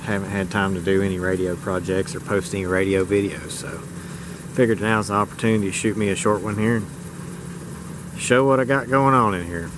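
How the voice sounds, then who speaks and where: American man, lower voice, folksy colloquial show-and-tell, loud vehicle hum throughout. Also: brisk. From rural United States. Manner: folksy, colloquial, plain-spoken. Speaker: vlogger showing his truck radio setup.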